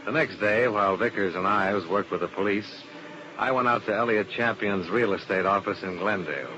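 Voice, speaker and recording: A muffled, noisy old radio recording carries an actor playing a low-pitched American male detective. He is cool and wry, with terse, matter-of-fact delivery suited to a 1950s mystery serial. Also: normal speed, lightly acted. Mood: wry narration. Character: cool, wry male insurance investigator, narrating his case. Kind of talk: 1950s radio detective drama acting and narration.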